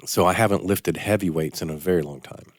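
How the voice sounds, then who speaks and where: A man's voice: sits low, fairly quick, no room sound, clean studio sound. An older man, an orthopedic surgeon, hosting a Sunday morning radio health show, talking in a rambling, self-deprecating way with a slight sniffle. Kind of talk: casual radio health talk. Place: radio studio.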